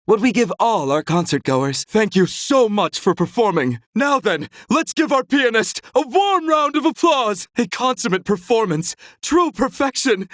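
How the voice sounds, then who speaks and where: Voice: mid-range voice, very animated, measured pace, hardly any pauses, dry recording, studio quality. An actor playing an effusive, theatrical concert host in a video game, with florid, grandiose phrasing. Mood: effusive, gracious. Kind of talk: video game character acting.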